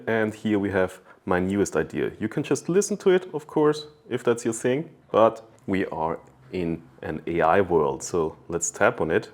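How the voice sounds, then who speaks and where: A man's voice: fairly low pitch, a little mellow. A man giving a casual hands-on product review, talking conversationally and thinking aloud as he goes.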